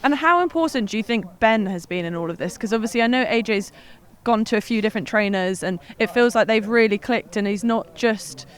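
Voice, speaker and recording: A woman sports reporter interviewing a guest outdoors, friendly and quick to laugh. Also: a little high. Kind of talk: interview questions. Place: outdoors at an urban boxing gym.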